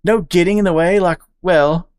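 A woman voicing a bubbly, brash young female squirrel who blurts things out, with a squeaky excited voice. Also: moderate pace, smooth, no room sound, studio quality, lightly acted. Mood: excitable. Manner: loud, excitable, high-pitched blurting.

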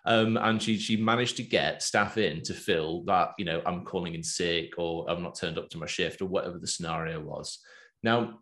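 A man with an English accent hosting a business chat, talking in a lively, loose way with plenty of fillers. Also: sits low, moderate pace. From England. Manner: animated, talkative, loose with fillers. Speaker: podcast host and business coach.

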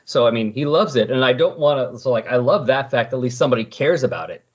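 A man's voice: medium pitch, expressive, fast, slightly rough. A man talking casually and enthusiastically about comic books, like a podcast guest. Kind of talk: casual comic book discussion. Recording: muffled lo-fi audio.

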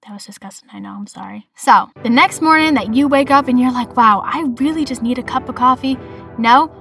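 A woman's voice: high voice, fairly quick, gravelly, soft background music. Chatty Boston woman podcaster. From Massachusetts, USA. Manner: chatty, animated asides. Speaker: podcast host. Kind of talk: solo podcast monologue.

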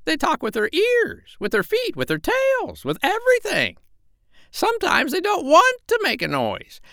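A man voicing a bossy, clever female parrot in a shrill, high voice for a children's storybook. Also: huge pitch swings, measured pace, bright, forceful tone, dry recording, studio quality, exaggerated voice. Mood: instructive. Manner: emphatic, instructing.

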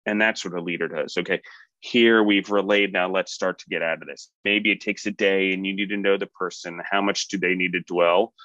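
Low-voiced guy on a leadership podcast, rambly motivational talk, dry close sound. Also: fairly even intonation, a little fast, sharp tone, no echo, muffled recording. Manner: motivational, rambling with fillers and restarts. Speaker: podcast co-host.

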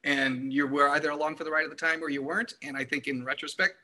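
A man's voice: on the high side, steady tone, quick pace, sharp tone. A man talking casually through an album discussion, explaining his views in wordy, analytical detail.

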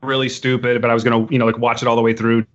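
A man's voice: medium pitch, level tone, racing, fairly bright tone, dull audio. A man in his forties chatting over a video call, joking in a mock-grandiose way. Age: 40s. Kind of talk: casual chat.

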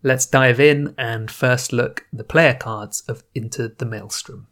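A man talks to his listeners in a relaxed, chatty way, thinking aloud as he goes and trailing off now and then. He records alone at home on a podcast microphone. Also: medium pitch, slow. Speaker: podcast host. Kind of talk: casual solo podcast intro. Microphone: new home podcast microphone setup.

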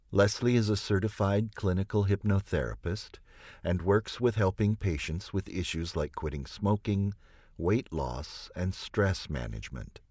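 Deep-voiced male audiobook narrator, expressive pitch. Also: no echo, clean studio sound. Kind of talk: scripted audiobook narration of nonfiction.

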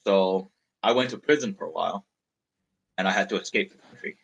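Husky low-voiced man from Malaysia. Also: brisk, poor audio. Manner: frank, rambling, repeats words while thinking. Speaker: startup founder being interviewed. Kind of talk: candid conversational storytelling.

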